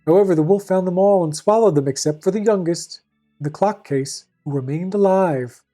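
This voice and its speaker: A woman hosting and narrating a storybook tale in a formal, deadpan manner with dry asides. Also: fairly low pitch, fairly quick, soft background music. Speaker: fairy tale reader and host.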